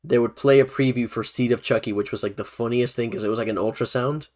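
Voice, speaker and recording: A man's voice: mid-range voice, fairly even intonation, quick pace, darkish tone. Young man hosting a casual film chat. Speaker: podcast host. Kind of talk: casual chat about films.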